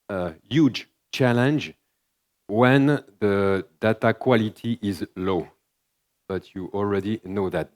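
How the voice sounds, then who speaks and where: A man with a French accent delivers a keynote in a large conference hall, his English halting and full of fillers and restarts. His voice sits a little low. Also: a little mellow. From France. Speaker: conference speaker.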